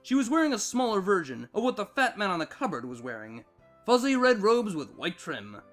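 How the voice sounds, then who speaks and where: A man with a high-pitched voice narrates a story from a script. His delivery is expressive and varied, with music playing underneath. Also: brisk. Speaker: fan fiction narrator.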